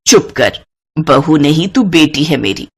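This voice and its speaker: Older mother-in-law, voiced by a woman, stern, curt, scolding, lower pitch, cartoon story. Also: expressive, a little slow, husky, heavily acted. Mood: gruff affection. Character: stern, sharp-tongued older mother-in-law, gruffly caring. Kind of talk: Hindi moral cartoon story acting.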